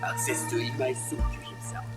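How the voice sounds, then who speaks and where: An actor playing a cocky small-time forger in a video game, wheedling and dismissive. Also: male voice, moderate pace, loud music behind the voice, lightly acted. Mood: scheming. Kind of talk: video game cutscene acting.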